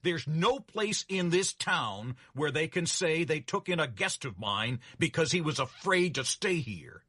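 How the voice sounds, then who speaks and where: Booming, husky old hotel keeper. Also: male voice, animated, a little slow, sharp tone, dry recording, studio quality, full character voice. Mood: thundering, indignant. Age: older. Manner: thundering, emphatic, indignant. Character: forceful, proud old hotel keeper defending his guest. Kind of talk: dramatic reading of a classic short story.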